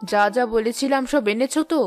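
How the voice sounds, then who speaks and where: A woman's voice: sits high, fairly quick, a little mellow, faint music behind, lightly acted. A woman playing a busy, mildly scolding village mother in a cartoon, practical and no-nonsense. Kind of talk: cartoon voice acting.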